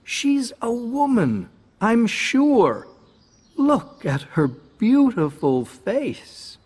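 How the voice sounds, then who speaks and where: A man playing an eager, lovestruck young king in a storybook, with an American accent and a husky voice. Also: extremely high pitch, very animated, very slow, darkish tone, full character voice. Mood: eager, smitten. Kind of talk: storybook dialogue. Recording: long hall-like reverb.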